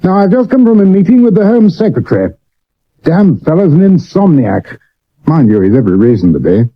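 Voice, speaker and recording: An actor playing an imperious senior Victorian police chief in a 1970s radio drama, clipped, commanding and blustering. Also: male voice, on the high side, sing-song, fairly quick. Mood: brisk, imperious. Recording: dull old medium-wave radio recording.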